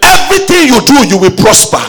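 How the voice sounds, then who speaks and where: A man preaching a sermon with call-and-response exhortations, rising at times into a sung shout. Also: very high voice, big pitch swings, brisk, over music.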